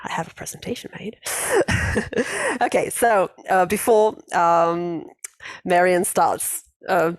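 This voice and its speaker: A woman's voice: lowish voice, slow pace, no echo. Chatty woman hosting a video-call class. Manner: chatty, laughs often, many restarts. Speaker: host of an English conversation class. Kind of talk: casual online class chat.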